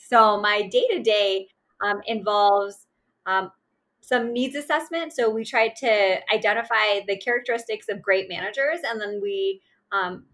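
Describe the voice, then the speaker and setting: Bright-voiced woman telling her career story. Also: mid-range voice. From Pakistan. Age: 30s. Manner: warm, candid, with light laughs and fillers. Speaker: interview guest, manager trainer.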